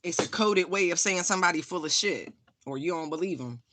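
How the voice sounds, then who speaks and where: Tarot reader, casual reading, bright tone, frank and profane, repeats itself for emphasis. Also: medium pitch, expressive, a little fast, slight background noise. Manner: frank, profane, laughs often. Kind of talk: casual spiritual reading, chatty asides.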